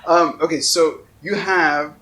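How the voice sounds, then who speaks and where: A man's voice: fairly high pitch, fairly even intonation, slow pace, pushed and bright, rough recording. A man hosting a casual, conversational interview in an effusive, complimentary way. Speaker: interviewer and show host. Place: on a houseboat, seated at a table. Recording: clear background noise and ambience.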